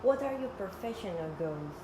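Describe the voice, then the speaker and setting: Woman recruiter, interviewer, mid-pitched voice, echoey room with noise. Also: expressive, normal speed. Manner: asks questions, some slips and restarts. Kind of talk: mock job interview.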